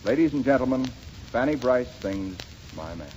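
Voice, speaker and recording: A man's voice: mid-range voice, expressive, normal speed, heavy background noise. A man announcing in a polished, declamatory style on a 1930s radio broadcast. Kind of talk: formal scripted introduction.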